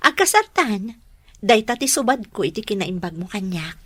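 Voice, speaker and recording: A woman's voice: medium pitch, sing-song, moderate pace, fairly bright tone, slightly rough, no echo. A woman with an Ilocano Filipino accent acting a grateful, earnest young student in a radio drama. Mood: grateful, hopeful. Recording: music bed under voice. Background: background music.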